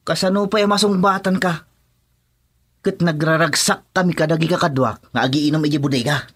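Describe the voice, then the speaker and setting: Defiant henchman, highish voice. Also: brisk, fairly bright tone. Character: defiant male henchman bickering with a fellow gangster. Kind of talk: Ilocano radio drama acting.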